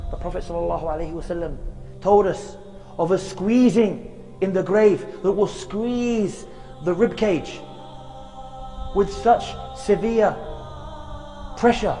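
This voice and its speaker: A man giving an earnest sermon outdoors in a graveyard, speaking slowly and chanting short recitations. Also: sits high, warm tone, big-room sound, soft background music. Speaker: preacher giving a short reminder.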